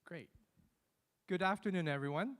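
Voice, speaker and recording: A man's voice: high voice, normal speed. A man from Hong Kong moderating a conference panel in an upbeat, friendly way.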